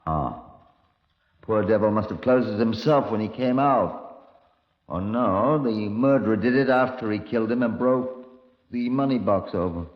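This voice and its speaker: An actor playing a brisk, commanding British chief inspector in a 1950s radio drama, middle-aged, clipped and authoritative. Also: male voice, fairly low pitch, natural voice. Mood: brisk, probing. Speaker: detective narrator. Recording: dull, lo-fi old radio recording.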